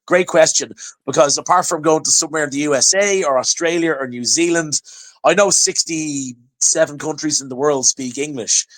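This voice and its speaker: A man with an Irish accent, talking as a podcast guest. His voice sits a little high, and he tells his stories with lively energy. He often repeats his opening words before getting going. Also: moderate pace, rough recording. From Ireland. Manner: chatty, animated storytelling with repeated restarts. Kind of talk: casual chat.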